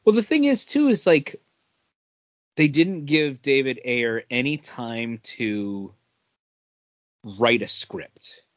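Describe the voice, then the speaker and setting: A man's voice: mid-range voice, animated, slow pace, long pauses, dry recording. A man with a mid-range voice chats casually as a podcast co-host. The recording is very dry, with a slightly dull, band-limited sound. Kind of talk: casual chat about comics.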